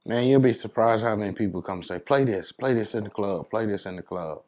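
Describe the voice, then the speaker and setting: A man from New York City with a fairly low voice, talking as a DJ being interviewed. His speech is casual and slangy, and he lays out advice with emphasis. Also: brisk, a little mellow. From New York City, United States.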